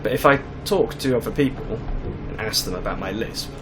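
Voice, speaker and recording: A man's voice: lowish voice, brisk, noisy background. A man with a slight lisp chatting casually with many fillers while playing a truck driving game. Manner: rambling and conversational, with many fillers. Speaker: gaming channel host. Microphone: desk microphone.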